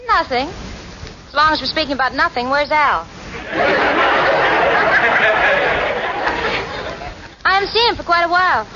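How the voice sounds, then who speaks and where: An actress playing a sensible, wry secretary in 1940s radio comedy, with a crisp, wisecracking manner. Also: female voice, high voice, lively intonation, fast talker, over a noisy crowd. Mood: dry, teasing. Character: sensible, wry working-girl secretary and roommate. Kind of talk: 1940s radio situation comedy acting and narration. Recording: muffled, noisy 1940s radio recording.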